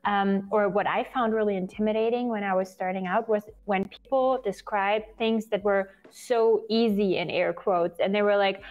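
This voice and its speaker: A woman's voice: medium pitch, fairly even intonation, moderate pace, few pauses, lo-fi sound. A young woman co-hosting a casual video-call chat, talking things through in an earnest, reflective way. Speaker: co-host. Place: home room.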